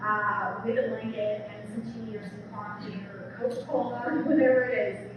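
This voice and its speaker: A woman's voice: medium pitch, fairly even intonation, normal speed. A woman professor giving an informal, enthusiastic book talk to an audience, chatty, often adding "like" and "right?". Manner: conversational, peppered with "like" and "right?", enthusiastic. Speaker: speaker, English professor. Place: event room with an audience. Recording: very echoey hall, distant mic, noisy.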